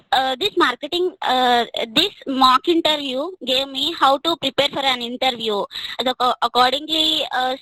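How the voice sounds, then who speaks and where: A woman's voice: sits high, bright, forceful tone, no room sound, low-quality recording. A young woman, giving a hesitant talk about a mock interview with many fillers and restarts. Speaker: student speaker.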